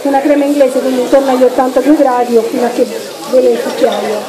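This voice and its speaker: A woman's voice: high-pitched, little pitch movement, quick pace, rough recording. A woman chef from Rome in her thirties, explaining each step of a dessert while cooking at a live demonstration on a handheld mic. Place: restaurant dining room with audience. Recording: chattering crowd behind.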